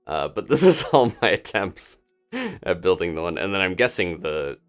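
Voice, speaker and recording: A man's voice: low-pitched, very animated, normal speed, soft background music. A man chatting casually through a live-streamed game, wondering aloud and laughing often. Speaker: streamer playing a video game.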